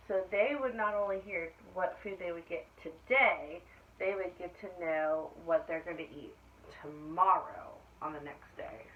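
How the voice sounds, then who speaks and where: A woman reading a picture book aloud to young children like a teacher, with expressive storytelling delivery. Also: fairly low pitch. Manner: expressive storytelling, pausing to ask children questions. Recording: dull lo-fi sound with clear background noise.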